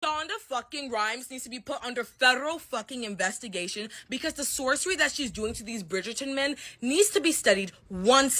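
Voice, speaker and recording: A woman's voice: a little high, bright, forceful tone. A woman giving casual pop-culture commentary in an emphatic, exaggerating, sweary manner.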